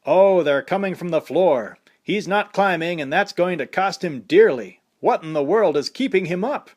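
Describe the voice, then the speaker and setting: A man voicing an excited male fight announcer calling a boxing match play by play, raised high and loud above his own voice. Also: normal speed. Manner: breathless play-by-play.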